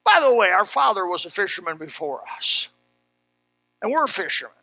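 A man preaching an animated sermon in a folksy, emphatic manner, exclaiming and repeating phrases as he tells stories. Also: high voice, normal speed, vocal fry, no echo. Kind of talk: animated Bible sermon with personal anecdotes.

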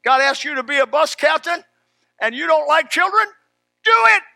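Guy preaching a sermon, folksy and emphatic, high sharp voice, pretty dry sound. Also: animated, normal speed, creaky, no echo. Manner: folksy, emphatic, exclaiming and repeating phrases. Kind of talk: animated Bible sermon with personal anecdotes.

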